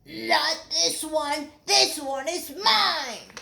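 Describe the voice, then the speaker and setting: A woman voicing a quarrelsome, possessive male frog in a storybook, with defiant, drawn-out shouts. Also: high-pitched, gravelly, roomy sound, a little hiss or hum, voice pushed up, full character voice.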